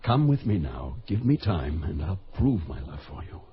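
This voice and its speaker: A deep-voiced cautious lover. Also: male voice, big pitch swings, normal speed. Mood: worried. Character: English doctor and lover, cautious and protective. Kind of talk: old-time radio drama. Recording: dull old radio recording.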